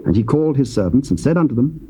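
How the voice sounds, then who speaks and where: A man's voice: mid-range voice, expressive, mellow, poor audio. Man in stately scripture narration. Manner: measured, stately reading. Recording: faint tape hiss.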